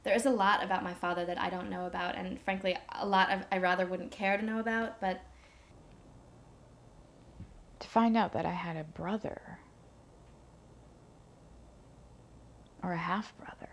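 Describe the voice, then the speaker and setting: A woman's voice: fairly low pitch, fairly even intonation, fast talker, hardly any pauses, lightly acted. A young woman playing a shy, hesitant daughter giving interview-style testimony, often correcting herself, in a dramatised documentary. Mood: bewildered. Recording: faint background noise.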